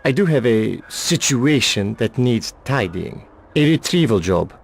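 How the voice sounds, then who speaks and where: A furtive bartender in a game cutscene. He sounds husky and creaky, with lively swings in pitch, and speaks in a guarded, evasive way about shady business. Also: unhurried, heavily acted. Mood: guarded, insistent. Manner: wary, evasive. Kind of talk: video game cutscene acting.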